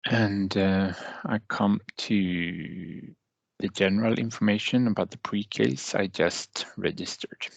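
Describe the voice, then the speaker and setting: A man narrating a step-by-step software tutorial as a trainer, with halting, deliberate phrasing and hesitant pauses. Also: on the low side, creaky, no room sound, dull audio.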